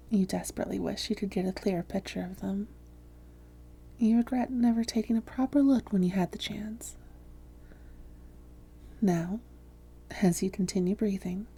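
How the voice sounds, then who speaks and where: A seductive female vampire, played by a woman, poses as a hypnotherapist in an ASMR roleplay. Her voice is very dark and breathy, with fry at the edges. She coaxes slowly and deliberately, often in a whisper, with smug control. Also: mid-range voice. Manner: slow, coaxing, deliberate.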